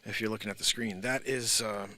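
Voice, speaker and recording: A man's voice: on the low side, steady tone, fairly quick, pushed and bright, faint music behind. An American man, a musician hosting a livestream, chatting to viewers in an informal, rambling, slangy way. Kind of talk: casual livestream chat to viewers.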